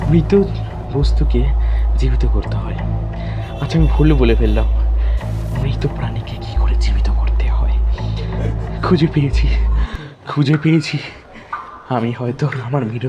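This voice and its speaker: A man narrating a first-person horror story in a dramatic, halting, suspenseful way, often repeating phrases. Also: medium pitch, dull audio.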